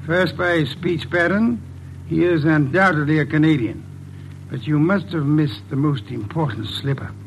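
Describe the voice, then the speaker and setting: An actor playing a shrewd, self-assured detective in 1940s radio style, speaking in a pompous, precise, deliberate way. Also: male voice, fairly high pitch, a little hiss or hum, lightly acted, rough recording. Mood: smug, amused. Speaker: lead detective. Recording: vintage radio drama recording.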